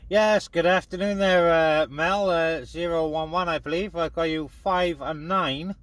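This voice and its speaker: A man's voice: a little high, fairly even intonation, unhurried, fairly bright tone. A British man casually chatting as a radio hobbyist, making CB calls and talking to his viewers. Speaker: radio hobbyist vlogger and CB operator. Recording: noisy, clicky radio hiss.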